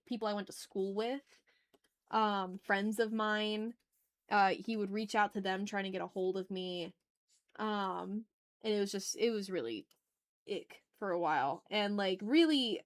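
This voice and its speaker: A woman hosting an art livestream, chatting informally and candidly, thinking aloud as she draws and swearing freely. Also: mid-range voice. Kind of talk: casual chat while drawing on stream.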